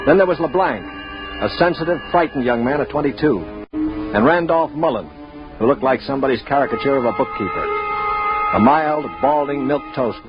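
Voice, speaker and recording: An actor playing a cynical, world-weary former space pilot in a 1950s radio drama, speaking in a dry, authoritative, matter-of-fact way. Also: male voice, medium pitch, moderate pace, natural voice. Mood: grave narration. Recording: dull 1950s radio broadcast with music.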